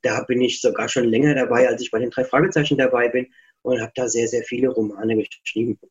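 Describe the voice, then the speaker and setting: A man's voice: medium pitch, steady tone, quick pace, highs cut off. A middle-aged man talking as an author guest in a casual interview, chatty, reflective and self-deprecating.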